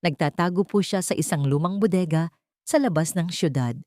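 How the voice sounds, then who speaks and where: A woman's voice: on the low side, normal speed, warm tone, no echo, close to an everyday voice. A woman voicing a deferential male aide in an audiobook drama, reporting respectfully and urgently.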